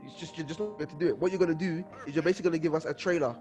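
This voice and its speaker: A young man chatting casually as a podcast co-host, excitable and given to repeating his phrases. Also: on the high side, rapid-fire, faint music behind. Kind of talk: casual chat.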